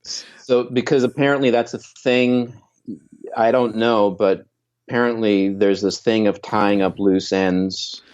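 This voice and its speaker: A man in his fifties, a guest musician telling candid stories in an interview, reflective and quick to laugh, often trailing off and restarting. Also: fairly low pitch, fairly even intonation, darkish tone, clear, resonant tone, dull audio. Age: 50s.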